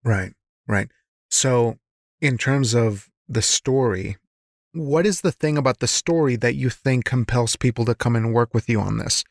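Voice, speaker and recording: A man's voice: medium pitch, a little slow, creaky, no room sound, studio quality. A man hosting a casual interview, feeling his way through reflective questions and giving short affirmations. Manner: reflective, searching phrasing, frequent short affirmations. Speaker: podcast host, interviewer.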